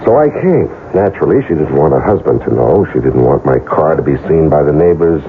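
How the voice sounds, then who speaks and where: An American actor playing a battered, wry and guarded market analyst in a radio drama, speaking in a hesitant, laconic way. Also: male voice, a bass voice, animated, a little fast. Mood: guarded, reflective. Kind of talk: 1980s radio drama acting. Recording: tinny, noisy old radio broadcast.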